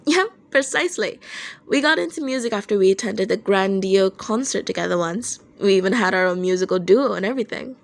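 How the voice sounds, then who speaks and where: A woman's voice: mid-range voice, animated, brisk, creaky. A woman playing a playful, teasing young male rock band leader with a breezy, casual manner in an audio story.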